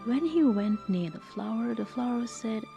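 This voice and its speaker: A woman storyteller reading a scripted folktale in a soft, measured, expressive read-aloud way. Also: fairly high pitch, gravelly, muffled recording, soft background music.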